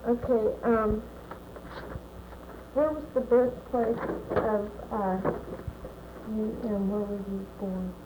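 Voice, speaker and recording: A woman's voice: mid-range voice, steady tone, takes it slowly, long pauses, background noise. A woman conducting an oral history interview, asking hesitant questions with fillers. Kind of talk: reading intro, asking questions.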